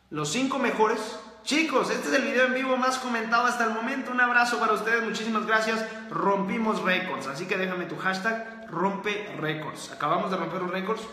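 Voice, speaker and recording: A man hosting a book-summary series, talking in an energetic motivational style and repeating his key phrases for emphasis. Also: sits high. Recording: very echoey room with long reverb.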